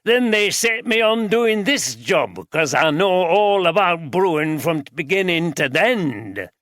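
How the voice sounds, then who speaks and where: A man voicing a blunt, gruff brewery guide in his sixties with a broad Yorkshire accent. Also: high voice, big pitch swings, a little slow, a bit bright, no room sound, pitched above the performer's own voice, exaggerated voice. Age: 60s.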